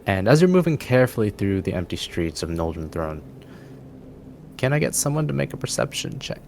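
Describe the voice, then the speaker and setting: A man acting as game master, narrating a tabletop fantasy game in a descriptive, deliberate storytelling manner. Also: fairly low pitch, very animated, vocal fry, a little mellow, a little hiss or hum.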